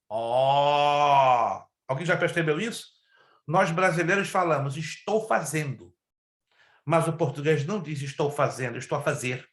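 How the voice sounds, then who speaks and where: A man's voice: a little high, a bit bright, roomy sound. A man, a pastor raised in Brazil with Hebrew and Spanish as first languages, teaching a Bible class and often drawing out key words and asking the class questions. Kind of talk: online Bible class lecture.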